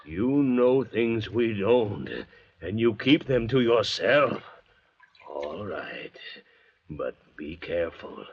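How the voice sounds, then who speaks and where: An actor playing a threatening, suspicious and hot-tempered convict in an old-time radio drama, with theatrical delivery. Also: male voice, lowish voice, expressive, slow, slightly rough, full character voice. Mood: quietly menacing. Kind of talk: 1940s-50s radio drama acting. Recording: dull, hazy old radio recording.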